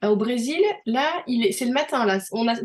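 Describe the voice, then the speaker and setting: A middle-aged woman from France, talking casually with a somewhat high voice. She is chatty and explains things through everyday examples, often restarting her sentences. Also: brisk. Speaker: host. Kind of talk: casual conversational interview.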